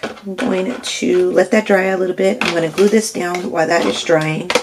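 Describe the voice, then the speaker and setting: A woman's voice: lowish voice, steady tone, normal speed. A woman with a slightly nasal, stuffy voice and a scratchy throat, chatting through a crafting tutorial step by step and asking "right?" as she goes. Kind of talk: casual step-by-step crafting demo.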